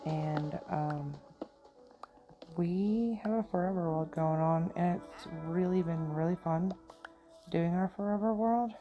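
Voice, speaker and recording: A woman's voice: on the low side, slow pace, music in the background. A middle-aged woman with a country accent, chatting and giggling to herself as she plays a game. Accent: Southern US country. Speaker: gamer. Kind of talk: casual gameplay commentary.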